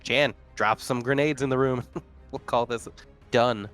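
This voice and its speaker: A man's voice: mid-range voice, steady tone, measured pace, a bit bright, faint music behind. A man chatting casually as a player in a roleplaying game, laid-back, jokey and slangy.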